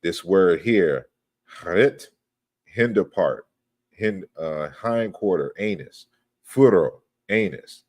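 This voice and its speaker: Deep-voiced man lecturing over slides. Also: expressive, slow pace, a little mellow, breathy edge, no room sound. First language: not Yoruba. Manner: explains step by step, often checks with "right?". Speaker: lecturer on language history.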